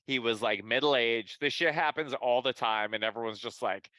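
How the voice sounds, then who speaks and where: A man's voice: medium pitch, moderate pace, sharp tone, dry recording. A man co-hosting a podcast, sarcastic and profane in casual chat. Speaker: podcast co-host.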